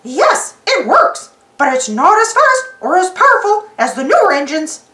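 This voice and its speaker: Woman doing a high, cheerful cartoon mouse fellow. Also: big pitch swings, moderate pace, reverberant, exaggerated voice. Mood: upbeat, assured. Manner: earnest, gently correcting. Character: cheerful, sensible cartoon mouse, friendly male leader.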